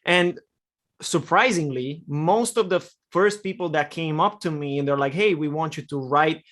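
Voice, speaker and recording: A man's voice: on the high side, moderate pace. Young Romanian-born man telling his story. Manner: relaxed storytelling with many fillers. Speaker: guest, e-commerce copywriter. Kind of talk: podcast interview answers.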